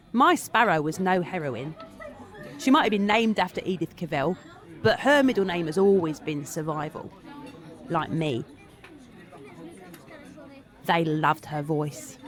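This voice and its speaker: A woman's voice: lowish voice, unhurried, pauses often, a faint crowd, lightly acted. A woman playing a blunt, cynical Parisian survivor who narrates wartime memories in an audio drama monologue. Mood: defiant, wry. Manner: wry, blunt.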